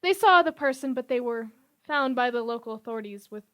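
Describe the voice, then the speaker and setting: A woman's voice: on the high side, expressive, brisk, fairly bright tone. A woman voicing an earnest, talkative bank manager who gets flustered easily. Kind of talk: amateur 1930s-style radio drama parody.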